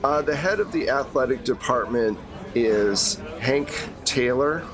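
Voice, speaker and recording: A man's voice: mid-range voice, lively intonation, unhurried, crowd noise. A man acting as game master, giving a conversational, explanatory briefing in a tabletop roleplay.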